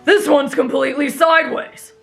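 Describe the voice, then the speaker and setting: A woman's voice: high voice, fairly even intonation, normal speed, creaky, fairly bright tone, faint music behind. A woman playing a brash, stubborn teenage boy raised in the wild, blunt and childlike, in an anime fan drama.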